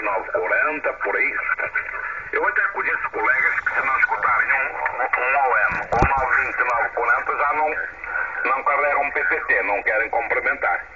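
An older man from Portugal with a European Portuguese accent, chatting casually and repetitively as an amateur operator, heard through a shortwave radio. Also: mid-range voice. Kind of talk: casual ham radio chat. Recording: dull, very noisy shortwave radio reception. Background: loud radio static and hiss.

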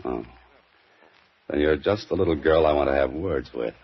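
An actor playing a wry mystery writer on the run, answering everyone with dry wisecracks, on an old radio drama recording. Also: male voice, rumbling low, moderate pace, husky, dull audio, full character voice. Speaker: lead actor and narrator.

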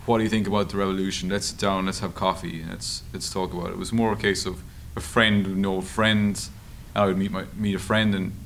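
Male interviewee, Irish, low creaky voice, conversational storyteller, light room noise. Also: fast, hardly any pauses. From Ireland. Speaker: journalist and author being interviewed. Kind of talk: conversational interview answers at a public discussion. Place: meeting room at a table. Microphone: table microphone. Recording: steady background room noise.

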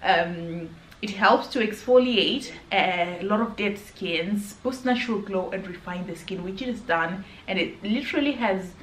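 A woman's voice: medium pitch, unhurried, hardly any pauses. A woman in her thirties, not a native English speaker, chatting earnestly to camera about skincare and restarting often. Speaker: beauty vlogger. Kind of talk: casual skincare review to camera. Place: hotel room, indoors. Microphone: camera at arm's length. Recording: echoey room, faint background hum.

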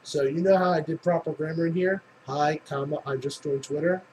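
A man's voice: fairly high pitch, fairly even intonation, a little fast, a little mellow, slight background noise, low-quality recording. A man giving a casual comedic tutorial in a tongue-in-cheek, mock-instructional way with casual swearing. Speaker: tutorial presenter.

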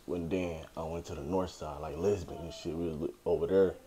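A man's voice: sits low, steady tone, brisk, dark tone. A young man from Kenya, a guest chatting casually in slangy talk, laughing often. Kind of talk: casual chat.

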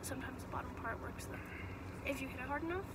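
A teenage girl guesting on a casual chat show, talking with dry, deadpan humor from the back seat of a car. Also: high-pitched, fast, background noise. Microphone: phone camera in front seat.

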